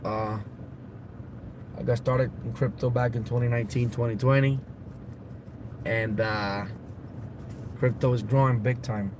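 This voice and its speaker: Raspy man livestreaming from his car. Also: medium pitch, fairly even intonation, measured pace, long pauses. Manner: rambling, repeats himself, upbeat. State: raspy voice from a cold. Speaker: crypto channel host. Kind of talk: casual livestream chat while driving. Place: in a car on the road. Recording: loud road and car noise.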